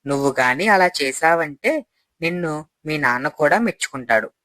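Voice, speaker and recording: Bright, coaxing young swindler played by a man. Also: fairly high pitch, expressive, normal speed, no room sound, clean studio sound. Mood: persuasive. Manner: persuasive, coaxing. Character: smooth-talking young male swindler posing as a friend.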